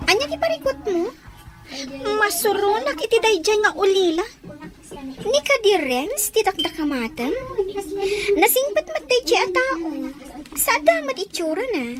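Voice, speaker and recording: Woman acting as outspoken young lady, very high voice, lively swooping intonation, gossipy, audio drama. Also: unhurried, long pauses, over loud music, full character voice. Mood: teasing, scolding.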